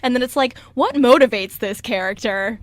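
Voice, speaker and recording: A young woman with a fairly high voice, hosting in a relaxed conversational style. She is animated and often slips into little quoted asides as she talks. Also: normal speed, no echo. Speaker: radio show host and voice actor. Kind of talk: casual chat.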